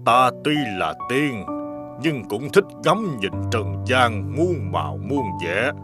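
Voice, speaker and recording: Slow-spoken, musing immortal elder. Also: male voice, mid-range voice, sing-song, over music. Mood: wistful, musing. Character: unhurried, philosophical male immortal elder of the fairy realm. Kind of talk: animated fairy-tale voice acting.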